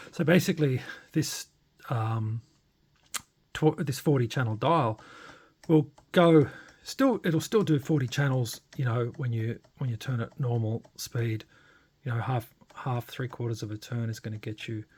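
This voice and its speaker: A man narrating a casual, unscripted hands-on demo, informal and halting as he thinks aloud. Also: mid-range voice, a little mellow, husky. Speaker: hobbyist radio modifier presenting a demo.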